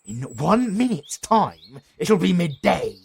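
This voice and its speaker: An actor with a British accent playing a pompous, melodramatic retired dark lord in a comedy audio roleplay, husky and easily outraged. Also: male voice, high voice, big pitch swings, takes it slowly, full character voice. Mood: outraged, incredulous. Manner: grandiose, melodramatic, sardonic.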